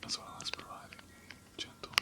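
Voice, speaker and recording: A man playing a gentle, doting ram caretaker in an ASMR roleplay, speaking softly and tenderly and often slipping into a whisper. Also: normal speed, lightly acted. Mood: gentle, reassuring. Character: gentle, doting male ram caretaker tending an injured lamb. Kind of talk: ASMR roleplay, soft half-whispered close speech.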